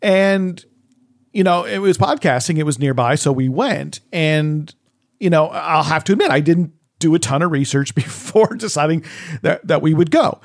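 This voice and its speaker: A man's voice: a little high, animated, normal speed. A middle-aged man co-hosting a podcast, chatting casually and searching a little for his words as he goes. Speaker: podcast co-host. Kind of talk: casual conversational discussion.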